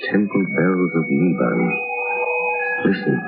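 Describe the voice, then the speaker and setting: An actor in an old radio drama playing a mysterious crime-fighter with hypnotic powers, speaking slowly and ominously. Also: male voice, low voice, expressive, vocal fry, loud music behind the voice, full character voice. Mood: mysterious, grave. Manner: slow, ominous, deliberate. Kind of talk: 1930s radio drama acting. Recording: muffled vintage radio recording.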